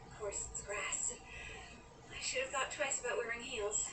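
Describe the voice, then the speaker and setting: A woman's voice: on the high side, fairly even intonation, unhurried, echoey room, far from the mic, noisy background, natural voice. A woman playing a young, wry New Yorker grieving a friend, in an audio drama that mixes dialogue and narration. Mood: wry, tense. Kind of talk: audio drama acting with first-person narration.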